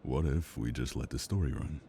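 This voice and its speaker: A man acting a devoted, steady partner and father in an intimate audio drama, calm and reassuring. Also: a bass voice, moderate pace, creaky, a little hiss or hum.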